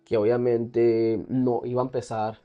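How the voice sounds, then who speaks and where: Male interview guest, Colombian accent, soft mellow tone, mid pitch, candid and conversational, light music behind. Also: little pitch movement, a little slow, lo-fi sound. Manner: candid, often laughing as he talks. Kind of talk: casual personal storytelling.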